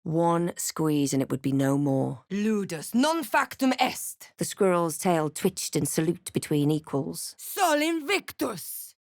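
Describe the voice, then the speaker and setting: A woman playing an adventurous, thoughtful fantasy hero in a role-playing game, with a measured, literary and dramatic delivery. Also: on the low side, sing-song, no room sound, clean studio sound, heavily acted. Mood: incanting spells. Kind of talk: scripted game voice lines and narration.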